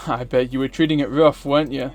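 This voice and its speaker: A man's voice: mid-range voice, fairly even intonation, a little fast, close to an everyday voice. Visual novel part: big muscly workshop boss done by a man, blunt, teasing, music underneath. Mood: gruff, teasing. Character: huge muscle-bound bald shop owner, gruff, casual.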